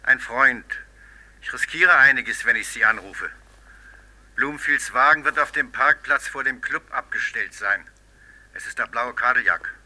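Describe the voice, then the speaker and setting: An actor playing a sly, sinister tipster in a German radio crime drama, measured and conspiratorial. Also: male voice, medium pitch, bright, forceful tone, low-quality recording.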